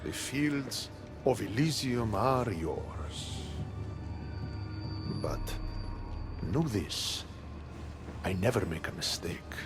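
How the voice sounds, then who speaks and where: An actor playing a mocking, theatrical god of the underworld in a video game, with a sardonic, drawn-out delivery. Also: male voice, lowish voice, expressive, exaggerated voice. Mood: menacing. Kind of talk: video game cutscene acting. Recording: music behind the voice.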